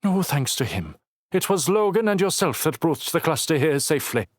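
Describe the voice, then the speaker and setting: Haughty councilwoman done by a man, clipped, disdainful, higher pitched, dry. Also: sing-song, moderate pace, dry recording. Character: haughty sneering councilwoman, middle-aged.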